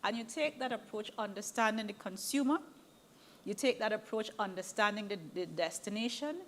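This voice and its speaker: A woman's voice: mid-range voice, normal speed, slight background noise. A woman on a webinar panel laying out her points in a firm, structured way. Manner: lively, laughing, then firmly structured.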